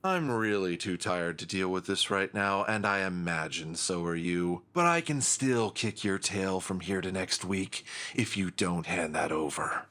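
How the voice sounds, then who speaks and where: Man as a deep, sharp, sardonic villain. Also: a little slow. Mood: scornful. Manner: formal, sardonic, deadpan. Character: dry, haughty male rival antagonist, adult, menacing. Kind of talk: audio drama acting.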